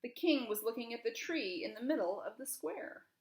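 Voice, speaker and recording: A woman's voice: a little high, lively intonation, normal speed, darkish tone. A woman reading a children's storybook aloud expressively, with emphasis and questions put to young listeners. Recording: roomy, echoing room.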